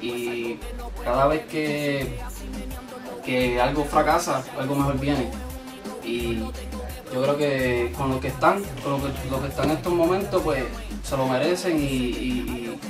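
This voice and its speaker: A man's voice: mid-range voice, steady tone, measured pace, music in the background. A Puerto Rican man, a guest musician, answering interview questions in an earnest, repetitive way. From Puerto Rico. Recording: echoey room, mic far off.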